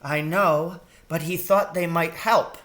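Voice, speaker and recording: A man acts out a motherly storybook mouse in a raised, soft voice. She sounds gentle and polite, with a worried, hesitant way of speaking. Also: fairly high pitch, fairly even intonation, takes it slowly, pitched above the performer's own voice. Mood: puzzled, worried. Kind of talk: children's storybook read aloud.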